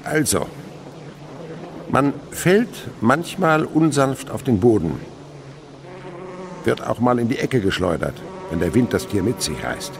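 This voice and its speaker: An actor playing an ageing, dreamily enthusiastic insect researcher in a German radio play, speaking in a scholarly, lyrical and patiently instructive way. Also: male voice, medium pitch, sing-song, unhurried, gravelly, pauses often, background noise. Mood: absorbed, explaining.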